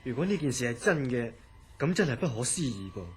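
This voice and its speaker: An actor with a Hong Kong Cantonese accent playing a curious, easily astonished institute director in a radio drama, questioning with theatrical disbelief. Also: male voice, mid-range voice, big pitch swings, fairly quick, darkish tone, a little hiss or hum, lightly acted. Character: curious, astonished male institute director hearing eerie story.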